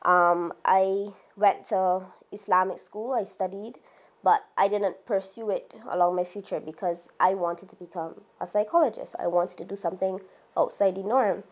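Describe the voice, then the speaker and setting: A young woman with a Barbadian accent gives a personal interview. Her voice sits at an average pitch for a woman. She speaks in the manner of someone describing her own life on camera. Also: fairly even intonation, moderate pace. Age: young adult.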